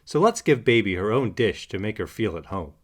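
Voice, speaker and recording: Eager boy puppy played by a man, earnest and excitable, storybook character with lively swoops. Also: on the low side, brisk. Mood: eager, helpful. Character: eager young male puppy, helpful leader.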